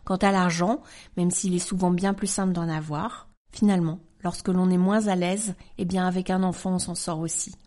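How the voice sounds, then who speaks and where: A woman in her forties hosting a podcast, reading a listener's letter aloud in a gentle, measured voice and then her own warm reply. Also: lowish voice, fairly even intonation. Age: 40s. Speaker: podcast host.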